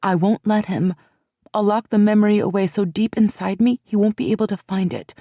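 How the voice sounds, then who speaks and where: A woman's voice: mid-range voice, steady tone, a little fast, mellow, dry recording, studio quality, voice pushed up, natural voice. A woman doing an earnest, firm young woman.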